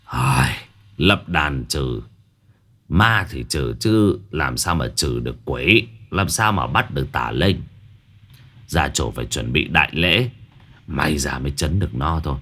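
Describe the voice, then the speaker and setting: A gruff, blustering fraud of a sorcerer mutters in a very deep, low voice, as a male narrator puts him on. He speaks heavily and quietly. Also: animated, a little slow, full character voice. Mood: grave.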